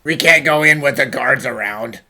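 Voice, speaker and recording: A performer voicing a grumpy, sarcastic, quick-witted talking dog in an animated fan dub, blunt and complaining. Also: medium pitch. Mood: inquisitive, brisk. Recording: music under the voice.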